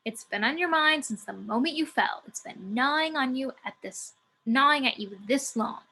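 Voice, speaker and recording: A woman's voice: sits high, expressive, normal speed, full character voice. A woman playing a blunt, scornful celestial muse in a script table read, emphatic and sardonic as she scolds her fallen brother. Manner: emphatic, sardonic, sharp-tongued. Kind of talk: amateur table reading of a film script.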